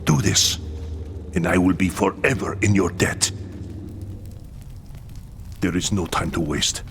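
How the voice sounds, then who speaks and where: A man playing a gruff, vengeful military commander in a dramatic video game scene, commanding and impatient. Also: deep voice, slow pace, slight background noise, full character voice. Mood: urgent, commanding. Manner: commanding, intense, stubborn.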